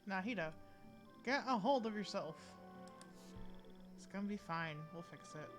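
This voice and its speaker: A woman voicing a steady, reassuring young female traveler in a video game, speaking plainly. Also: mid-range voice, a little slow, close to an everyday voice. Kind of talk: video game character acting. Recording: music behind the voice.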